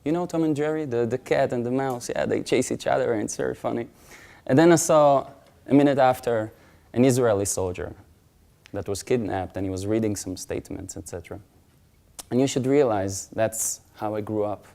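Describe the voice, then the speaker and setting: Earnest man telling a story on stage. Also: mid-range voice. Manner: earnest, builds to loud emphatic peaks. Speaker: conference speaker. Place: conference stage.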